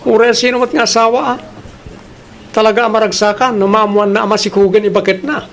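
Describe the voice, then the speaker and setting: Radio drama husband, Filipino accent, male actor as a playful, eager young employee, very high-pitched, slight background noise. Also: fairly even intonation, fairly quick, gravelly, a bit bright. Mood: cheerful, deferential.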